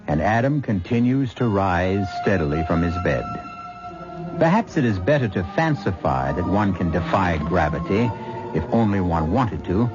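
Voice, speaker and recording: Low, animated male host narrator, wry and unhurried, old radio sound over music. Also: dull audio. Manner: measured, wry storyteller. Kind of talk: 1970s radio drama narration.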